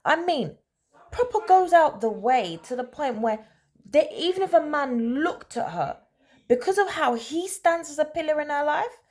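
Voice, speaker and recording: A woman telling a story in a casual, conversational way, animated and emphatic, often checking in with rhetorical questions. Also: sits high, normal speed. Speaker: storyteller, host of a story-time series.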